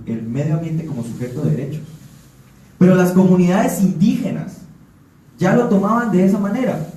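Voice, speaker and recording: A man's voice: fairly high pitch, mellow. A man from Colombia giving an earnest talk at a forum, often restarting and repeating words. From Colombia (Putumayo). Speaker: panel speaker. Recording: echoey room, speaker far from mic.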